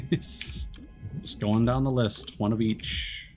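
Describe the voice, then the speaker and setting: A man's voice: medium pitch, steady tone, slow pace, darkish tone. A man casually talking through his game as he plays, rambling and correcting himself mid-sentence. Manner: rambling, self-interrupting, mild swearing. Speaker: streamer. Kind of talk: casual gameplay commentary. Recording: game music behind.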